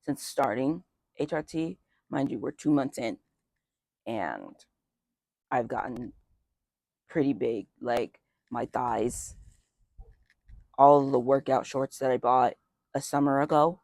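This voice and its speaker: A young man chatting casually outdoors in a light breeze as a vlogger, with lots of fillers and wry asides. His voice is just starting to deepen early on testosterone. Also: takes it slowly, gravelly, long pauses, a little mellow, no echo, studio quality. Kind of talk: casual life update chat.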